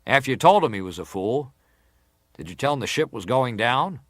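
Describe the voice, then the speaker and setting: Persistent senator, formal inquiry, deliberate questioning, dry close sound. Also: male voice, mid-range voice, big pitch swings, a bit bright, no echo. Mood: insistent, stern. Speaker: interrogator at a hearing. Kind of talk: dramatised reading of hearing testimony.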